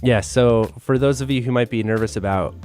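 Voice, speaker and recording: A man co-hosting a podcast in casual chat, speaking informally with hesitant, trailing pauses. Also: medium pitch, a little mellow, faint music behind. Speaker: podcast co-host.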